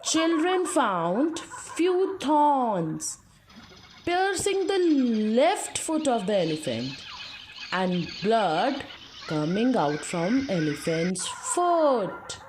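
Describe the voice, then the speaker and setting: A woman teacher telling a moral story to young children, speaking deliberately and addressing her young listeners directly. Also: fairly high pitch, very animated, noisy background.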